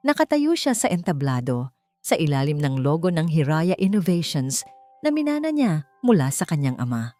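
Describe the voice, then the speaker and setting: A woman narrating an audiobook romance story, speaking in an expressive storytelling manner that climbs higher at dramatic moments. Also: moderate pace. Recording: faint background music.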